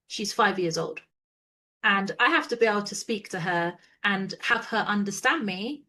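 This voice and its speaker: A woman takes part as a guest in a casual interview. She lays out her points in a steady, measured way. Her voice sits at a middling pitch. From London, England. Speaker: guest, business co-founder.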